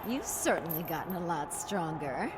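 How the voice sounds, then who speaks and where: A woman's voice: on the low side, big pitch swings, normal speed, heavy background noise. A woman playing a sassy young manager type in a video game, coaxing and flippant. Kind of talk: video game cutscene acting.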